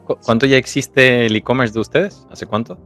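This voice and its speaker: A man's voice: mid-range voice, normal speed, fairly bright tone, soft background music. A man hosting a podcast, asking a guest short, curious interview questions. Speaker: podcast host.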